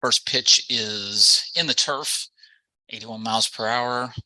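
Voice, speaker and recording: A man's voice: mid-range voice, slow, pushed and bright, no room sound, low-quality recording. A man doing live baseball play-by-play commentary as the game's announcer.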